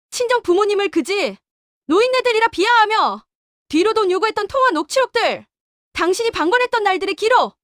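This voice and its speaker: A woman playing a wronged young wife who speaks calmly and coldly in an audio drama. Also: very high voice, dry recording, clean studio sound. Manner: calm, cold, matter-of-fact.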